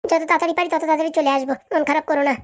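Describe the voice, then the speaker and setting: A woman's voice: high voice, fairly even intonation, very fast, natural voice. A woman voicing an evasive, reassuring young student boyfriend in a comedy cartoon, chatty and brushing off objections. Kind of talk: Bangla comedy cartoon dialogue.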